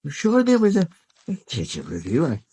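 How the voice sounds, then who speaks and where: An actor with a British accent playing a fussy, chatty older newspaper archivist in an audio drama, muttering and exclaiming. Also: male voice, fairly high pitch, wildly swooping pitch, moderate pace, dark tone, breathy edge, no echo, heavily acted. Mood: fussy, searching. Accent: British English. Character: fussy, chatty old male newspaper archivist, easily scandalised. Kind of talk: full-cast audio drama acting.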